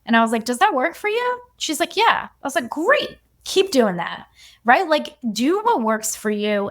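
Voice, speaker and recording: A woman human design coach talking as a podcast guest, chatty and relaxed, and she often says "like.". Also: fairly high pitch. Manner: chatty, fills her speech with "like". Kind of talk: casual podcast conversation.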